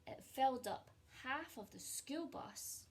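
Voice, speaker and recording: A woman's voice: sits high, measured pace. Woman reading to children, faint background noise. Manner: expressive storytelling with questions to young listeners. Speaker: teacher reading a picture book to children. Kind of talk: children's story time read-aloud.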